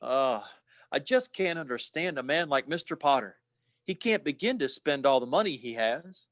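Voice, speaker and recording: Man playing an eager, high-voiced young dreamer. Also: expressive, moderate pace, fairly bright tone, no room sound, studio quality. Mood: breezy. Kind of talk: old-time radio play acting.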